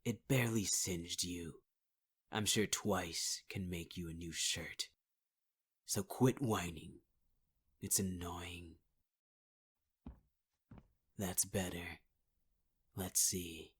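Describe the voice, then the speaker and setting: A man's voice: low voice, steady tone, very slow, long pauses, a bit bright. A man performing a menacing, taunting villain in an ASMR audio roleplay, often half-whispering. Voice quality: husky, half-whispered delivery.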